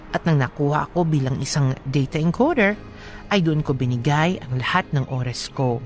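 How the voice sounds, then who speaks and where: Woman reading as lowish-voiced grieving widow. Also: darkish tone. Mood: reflective. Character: grieving widow reading out her letter, sincere, resolute. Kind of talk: scripted dramatic letter narration.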